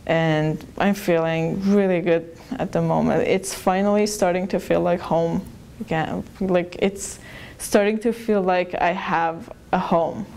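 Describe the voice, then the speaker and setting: A young woman from Iran recounts her experiences in a small plain room, recorded close on a lapel mic. Her voice sits low and mellow, and she speaks thoughtfully with occasional restarts. The tone stays calm and reflective. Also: measured pace, gravelly. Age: young adult. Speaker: interviewee. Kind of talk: personal account.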